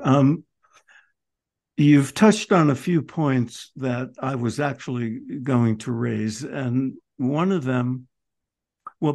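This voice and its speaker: A man hosting an interview, asking thoughtful questions in deliberate, halting short phrases. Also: mid-range voice, darkish tone. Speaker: interview host.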